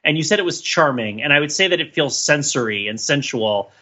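A man co-hosting a casual pop culture discussion, talking in a halting, digressive way and often correcting himself. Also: fairly high pitch, lively intonation, pushed and bright, dull audio. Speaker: podcast co-host.

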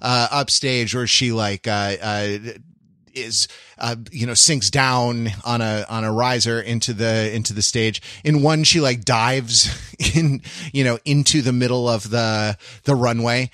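A man's voice: medium pitch, unhurried, a bit bright, no room sound, studio quality. A man co-hosting a casual podcast, explaining things in a rambling, analytical way. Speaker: podcast co-host.

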